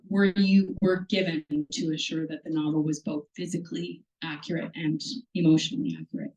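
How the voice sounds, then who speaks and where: A woman moderating a live bookstore reading, loud and chatty, laughs easily, speaking into a handheld mic. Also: low-pitched, distant mic, poor audio. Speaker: event moderator. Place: bookstore event room. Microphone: handheld microphone.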